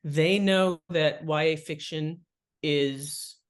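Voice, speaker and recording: A woman speaks as an interview guest at a middling pitch. She explains her points in an animated, conversational way, like an author talking through her craft. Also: slow. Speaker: guest, fiction writing author.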